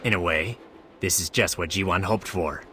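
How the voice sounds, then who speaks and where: An actor playing a solemn mystic mentor in a fantasy video game, speaking in a measured, formal way. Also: male voice, sits low, natural voice. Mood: solemn, grave. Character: solemn male mystic mentor guiding a warrior. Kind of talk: scripted game dialogue. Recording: loud music behind. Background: game music.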